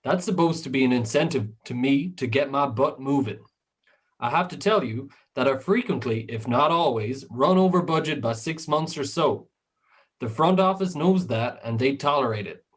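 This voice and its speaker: A man in an amateur radio drama playing a smooth-talking, businesslike Hollywood film director. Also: mid-range voice, animated, moderate pace. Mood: smooth, persuasive. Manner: businesslike, persuasive.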